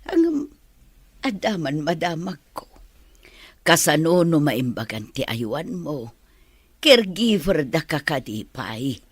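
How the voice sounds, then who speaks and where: A woman playing an ailing older mother in a radio drama, husky and fretful with affection for her daughter. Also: sits low, sing-song, slow, long pauses, dry recording, heavily acted. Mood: worried.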